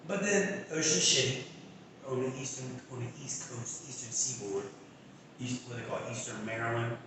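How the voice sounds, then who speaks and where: A man's voice: mid-range voice, normal speed. Laid-back joking man in echoey room. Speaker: podcast co-host. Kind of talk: casual chat. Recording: echoey room from far off with noise.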